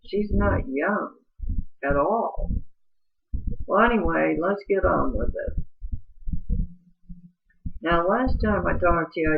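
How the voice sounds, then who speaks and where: A middle-aged woman with a low, rough-sounding voice, telling her life story in an earnest, confiding way and speaking straight to the listener. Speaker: vlogger. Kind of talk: personal life story monologue. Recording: tinny phone-like audio.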